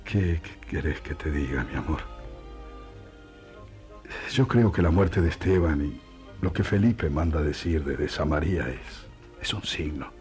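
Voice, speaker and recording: Actor playing a slow, deep-voiced, resolute Argentine apostle. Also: male voice, darkish tone, faint music behind. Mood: resolute, fervent. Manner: earnest, deliberate, preaching fervor. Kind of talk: radio play acting.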